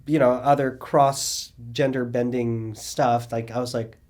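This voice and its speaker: A middle-aged man telling his story in an oral history interview, rambling and animated, with many fillers and restarts. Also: medium pitch, a little slow. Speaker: interviewee, cabaret singer and drag performer.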